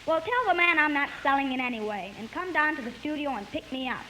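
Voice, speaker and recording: A man playing a vain, exasperated, tightfisted boss in a 1950s radio comedy, with drawn-out delivery. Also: very high-pitched, lively intonation, pushed and bright, full character voice, poor audio. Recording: hissy 1950s radio broadcast recording.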